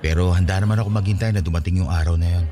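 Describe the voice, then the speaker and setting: An actor playing an earnest young man who gently and sincerely asks a coworker for a date. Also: low-pitched, fairly even intonation, rapid-fire, natural voice. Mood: tender. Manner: sincere, gentle, openly affectionate. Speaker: radio drama actor. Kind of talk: radio drama acting.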